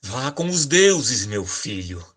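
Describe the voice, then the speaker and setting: Man playing a forceful, husky old warrior father. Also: mid-range voice, very animated, slow, full character voice. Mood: worried, solemn. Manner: solemn, dramatic. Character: old warrior king, proud, worried father sending son to war. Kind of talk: audio drama acting.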